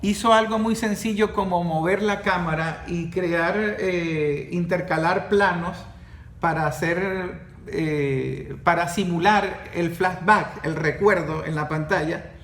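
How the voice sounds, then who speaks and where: A man's voice: high-pitched, slow, echoey room. A middle-aged man with a Venezuelan accent, talking animatedly in a casual podcast and often restarting his sentences. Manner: animated storyteller with frequent restarts. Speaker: podcast host and course facilitator.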